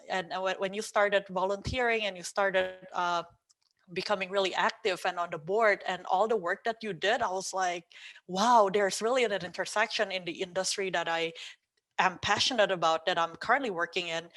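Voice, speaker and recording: A woman, a podcast co-host talking about her own path in a casual interview, thoughtful and pausing often with ums. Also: mid-range voice, a bit bright. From Indonesia. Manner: thoughtful, hesitant with frequent ums.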